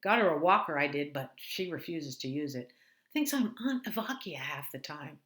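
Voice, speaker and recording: A woman's voice: sits low, expressive, moderate pace, fairly bright tone. A woman voicing an odd, blunt adult sister who talks in clipped, sing-song phrases and stresses the wrong words. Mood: flat blunt.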